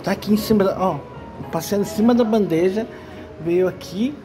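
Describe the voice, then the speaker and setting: A man's voice: high-pitched, moderate pace. An older man with a Brazilian accent, chatting casually to viewers on a phone camera in a cat café, delighted by the cats. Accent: Brazilian Portuguese. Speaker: vlogger. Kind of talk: casual vlog chat. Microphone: phone held at arm's length. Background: background music.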